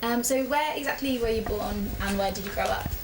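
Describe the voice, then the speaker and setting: A woman's voice: on the high side, brisk. Young woman interviewer in an echoey room. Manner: polite, tentative questioning with fillers. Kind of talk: oral history interview. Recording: echoey room with background noise.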